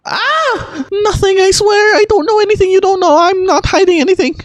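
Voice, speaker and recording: An amateur voicing a nervous, easily startled young girl from a game, flustered and excitable. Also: very high-pitched, normal speed, dry recording, pitched above the performer's own voice. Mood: panicked.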